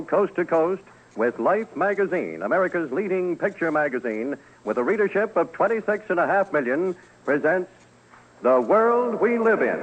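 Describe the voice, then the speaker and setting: A man announcing a network radio program in a formal, declamatory mid-century style. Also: on the high side. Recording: dull vintage radio with faint noise.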